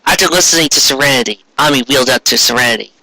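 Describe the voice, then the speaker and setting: Theatrical man with a very bright voice. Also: medium pitch, very fast, gravelly, no echo, rough recording. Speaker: fan fiction reader, commentator. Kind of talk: comic dramatic read-aloud with mocking asides.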